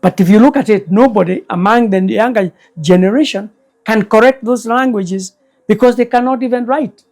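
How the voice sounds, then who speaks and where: Slow-spoken older man, mellow voice. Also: high-pitched. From Kenya. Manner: slow, measured, deliberate. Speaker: elder guest storyteller. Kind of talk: reflective storytelling interview.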